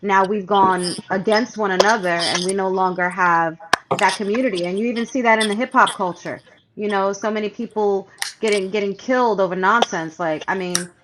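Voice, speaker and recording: A woman's voice: medium pitch, steady tone, moderate pace. Earnest woman host on a noisy call. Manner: affirming, earnest, reflective. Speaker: radio show host, interviewer. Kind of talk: conversational interview. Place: remote virtual interview.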